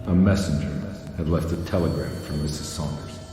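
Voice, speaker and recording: A low-voiced male private detective from a 1930s horror game. He is brooding and world-weary, with music running under his words. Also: fairly quick. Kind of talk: video game cutscene acting with brooding monologue.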